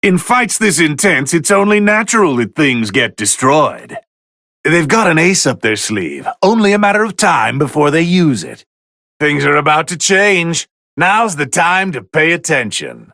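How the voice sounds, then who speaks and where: Imperious, bored video game deity commentator: theatrical and easily irked, forceful gravelly tone, pitched a bit high, very dry recording. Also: male voice, big pitch swings, measured pace, no echo, heavily acted. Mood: approving. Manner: pompous, theatrical, drawling disdain. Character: haughty, bored god of destruction, male, easily irritated. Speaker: fighting game commentator character.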